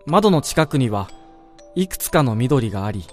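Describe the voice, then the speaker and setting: A man playing a wistful dreamer in a radio drama, telling of his own dream in a measured, reflective first-person narration. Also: mid-range voice, big pitch swings, close to an everyday voice. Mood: reflective, calm. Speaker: narrator-protagonist. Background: background music.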